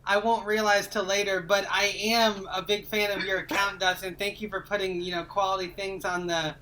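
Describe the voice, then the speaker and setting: Bright-voiced woman in casual podcast chat. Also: mid-range voice, flat delivery, moderate pace, low-quality recording. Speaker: podcast co-host. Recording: faint ambient noise on video call.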